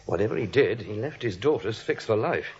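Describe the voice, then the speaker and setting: A man's voice: on the low side, animated, a little fast. A man playing a genial, talkative American police officer in a 1940s radio play. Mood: gossipy. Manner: chatty, colloquial. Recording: dull old radio recording.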